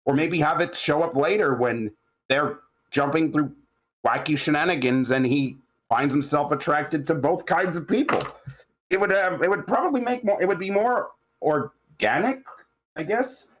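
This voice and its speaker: A man giving casual critique in a halting, rambling way, often searching for words. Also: fairly high pitch, fairly bright tone, poor audio. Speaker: table read participant giving feedback.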